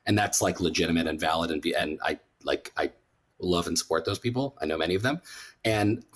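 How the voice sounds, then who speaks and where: A low male voice in a conversational interview. He speaks as a rabbi and prayer leader, earnest and reflective, often pausing and circling back to rephrase.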